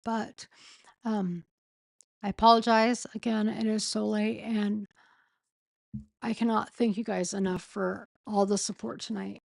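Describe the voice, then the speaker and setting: Slow-talking woman with a sore voice. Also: medium pitch, little pitch movement, creaky, no room sound, studio quality. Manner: slow, halting reading with pauses and self-corrections. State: losing her voice, sore throat. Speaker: streamer reading a court filing. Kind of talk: casual late-night live stream.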